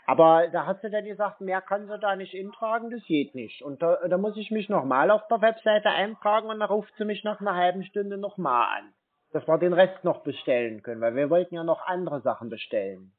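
A man's voice: sits high, lively intonation, brisk. A man in a casual phone conversation as a customer, insistent and repetitive in a naive-sounding way. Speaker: prank caller posing as customer. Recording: tinny narrow phone line.

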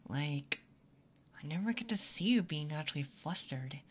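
Woman acting as young tomboy, ASMR roleplay, soft breathy low voice, affectionate teasing, close mic, faint background noise. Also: animated. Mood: playful teasing. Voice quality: soft, breathy, sometimes whispering. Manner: slow, teasing, casual. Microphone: close microphone.

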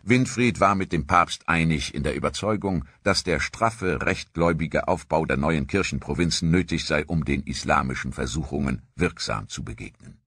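A man narrating a history documentary in a measured, scripted storytelling manner. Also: extremely low pitch, a bit bright, slightly rough, no room sound, clean studio sound.

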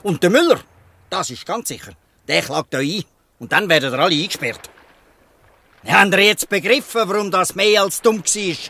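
Bright-voiced gruff old Swiss German fisherman. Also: high-pitched, animated, normal speed, heavily acted. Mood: exasperated, scolding. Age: older. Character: gruff but good-hearted old fisherman, male. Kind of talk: dialect radio play acting.

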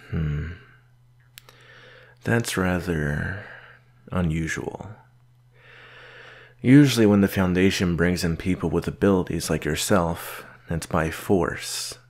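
Man acting a deep gravelly gruff researcher. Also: darkish tone, heavily acted. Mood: patient, measured. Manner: measured, dry, curt, sighing. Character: gruff male researcher doctor, solitary, stern but patient mentor. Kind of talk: slow audio roleplay acting addressed to the listener.

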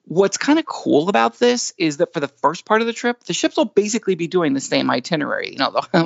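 Chatty higher-pitched man with creaky voice. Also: dry recording, dull audio. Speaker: podcast co-host giving news. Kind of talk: casual news chat.

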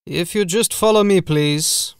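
A man's voice: high voice, a little slow, smooth, no echo, clean studio sound. A man voicing a formal, curt old castle steward, a deferential servant in a gothic horror audiobook. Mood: politely brisk.

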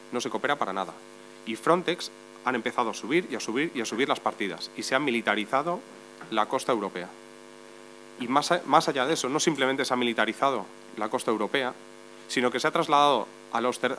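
A man's voice: medium pitch, pushed and bright, rough recording. A man speaking on a debate panel, explaining step by step and posing rhetorical questions. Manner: explanatory, builds points with lists and rhetorical questions. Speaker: journalist on a panel. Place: meeting room. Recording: faint mains hum.

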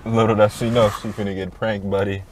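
A young man sits in his car, filming himself on a phone and talking to the camera in a lowish voice. He is slangy and hyped, with faint traffic noise behind him. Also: flat delivery, fairly quick. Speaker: prank vlogger. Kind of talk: casual vlogging while driving. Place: inside a car.

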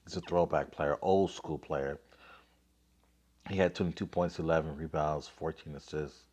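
Deep-voiced guy with a cold doing a laid-back hoops podcast on his phone, lots of uhs. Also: fairly even intonation, normal speed, darkish tone. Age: 30s. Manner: offhand, lots of fillers, reads scores aloud. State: getting over a cold, coughing. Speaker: basketball podcast host. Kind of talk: casual sports recap and rant. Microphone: phone, selfie framing.

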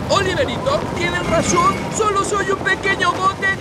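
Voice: very high voice, normal speed, over a noisy crowd. An actor voicing a small, timid talking boat in a children's cartoon, wailing and pleading. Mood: scared. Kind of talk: animated children's series dub. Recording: loud music over voice.

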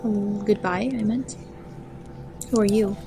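A woman's voice: medium pitch, fairly even intonation, slow, background noise. Chatty woman reacting while she plays. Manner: chatty, easily startled, reacts aloud while playing. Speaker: gaming video host. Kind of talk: let's play commentary, reading game text aloud.

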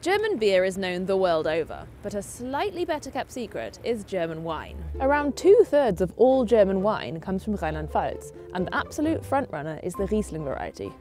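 A woman in her thirties presents a travel show with a bouncy, animated voice that rises and falls a lot. Her delivery is upbeat and scripted. Soft music plays faintly behind her. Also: mid-range voice, normal speed, hardly any pauses. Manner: lively, upbeat storytelling. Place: outdoors in town streets and vineyards.

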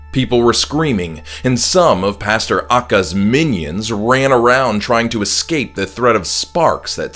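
A man reading a horror audiobook as a dramatic first-person storyteller. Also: medium pitch. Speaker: author reading own audiobook. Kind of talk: scripted horror audiobook narration. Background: music.

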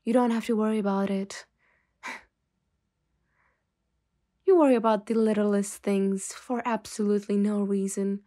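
Slow-talking, composed woman boss played by a woman. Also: mid-range voice, fairly even intonation, dark tone, close to an everyday voice. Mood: calm, reassuring. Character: secret mafia boss woman, stern but softening, condescending. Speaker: ASMR roleplay performer. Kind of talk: slow, intimate ASMR roleplay.

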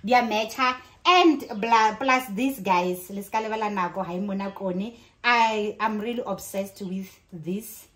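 A woman's voice: medium pitch, a little slow. A woman in her thirties with a South African accent, chatting casually and expressively as a vlogger and switching between languages. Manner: excited, expressive. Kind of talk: casual vlog chat mixing in another language. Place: living room and kitchen at home.

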